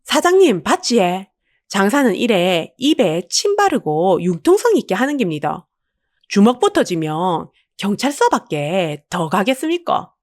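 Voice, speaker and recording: Woman acting cheeky, lively Busan market woman. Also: medium pitch, a little slow, dry recording, clean studio sound. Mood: cheeky, proud. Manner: chatty, wheedling, playful bragging. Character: bold, cheeky middle-aged woman street-food seller, sweet-talking.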